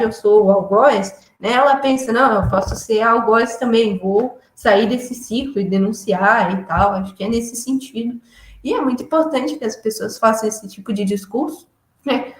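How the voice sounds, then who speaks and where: Young female interview guest, on the high side, reflective and casual, in an echoey room. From Florianópolis, southern Brazil. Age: young adult. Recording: roomy, echoey room sound.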